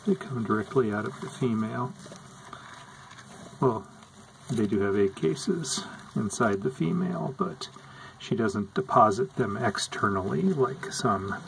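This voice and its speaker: A man calmly narrating over footage of his pet insect tanks, explaining what they do as he films. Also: mid-range voice, gravelly, slight background noise, poor audio. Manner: slow, calm, explanatory. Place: indoors at an insect tank.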